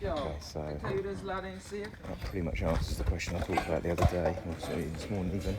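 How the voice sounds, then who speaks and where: Guy with a deep voice chatting through his building site, ums a lot, noisy outdoors. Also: animated, a little fast. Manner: chatty, explains step by step with many ums. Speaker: homeowner vlogging his house build. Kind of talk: casual walk-through explanation. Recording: loud outdoor site noise.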